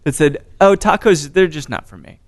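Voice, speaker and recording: A man hosting a podcast interview, joking and laughing easily in casual chat. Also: a little high. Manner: playful, jokey, quick to laugh. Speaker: podcast host.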